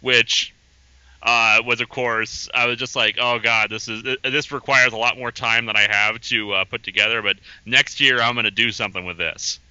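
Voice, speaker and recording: A man chats as a guest on a podcast, rambling easily from one thought to the next with small chuckles. His voice is very bright, smooth and crisp. The audio is dry and slightly dull and lo-fi. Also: mid-range voice, steady tone, normal speed, dry recording. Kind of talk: casual podcast chat.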